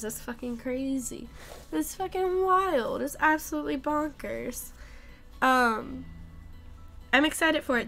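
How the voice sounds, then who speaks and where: A young woman talks to her stream in a loose, rambling way, filling pauses with ums. She is sick and her voice comes and goes. Soft music plays faintly behind her. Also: fairly high pitch. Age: young adult. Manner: chatty, rambling, many ums. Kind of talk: casual live-stream chat.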